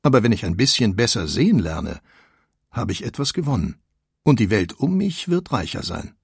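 An actor voicing a reflective, searching artist who muses on his work in a deliberate, pensive way in an audio portrait. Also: on the low side, very animated, vocal fry, husky, dry recording, clean studio sound, exaggerated voice. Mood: calm, accepting. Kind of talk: acted reading of an artist's quotations.

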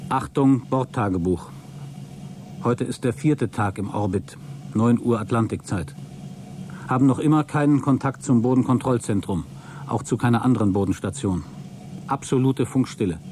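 Exasperated astronaut played by a man, mellow voice. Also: mid-range voice, normal speed, slight background noise, close to an everyday voice. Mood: formal, matter-of-fact. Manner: commanding radio calls, curt irritable retorts. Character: astronaut Walter, exasperated space shuttle crewman in stubborn denial. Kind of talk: German radio play acting.

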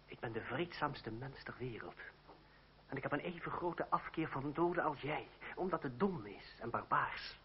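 An actor playing a mocking, razor-tongued young French revolutionary lawyer and duellist in a period radio drama, theatrical and mock-courteous. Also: male voice, mid-range voice, animated, moderate pace, slight background noise, natural voice. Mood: earnest, proud. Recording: dull, lo-fi old radio play, crowd behind.

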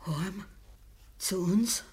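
Ailing old farm woman, radio play, Bavarian dialect, slow delivery, husky breathy voice, gentle, resigned. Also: medium pitch, exaggerated voice. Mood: anxious, consoling. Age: older. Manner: slow, plain rural dialect speech. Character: ailing old farmer's wife, gentle, resigned, pleading mother. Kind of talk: naturalistic radio drama acting.

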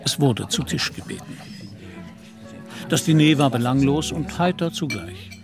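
A man narrates a literary radio drama from a script. His voice sits in the middle range, and music is clearly audible beneath it. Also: mid-range voice, lively intonation, a little slow.

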